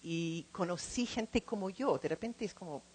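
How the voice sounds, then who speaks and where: Halting middle-aged woman, non-native speaker. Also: fairly low pitch, expressive, darkish tone, breathy edge. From lives in California. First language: not Spanish, speaks it as a second language. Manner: halting, searching for words, repeats words. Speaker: translator and program co-director. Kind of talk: informal public talk.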